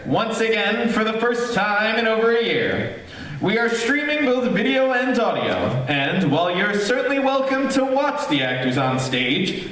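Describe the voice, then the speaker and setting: A man's voice: high voice, a bit bright. A man hosting a live stage show, giving a scripted introduction in a formal, theatrical announcer manner, recorded in a theater. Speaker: host. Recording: echoey theater, distant mic, live stream.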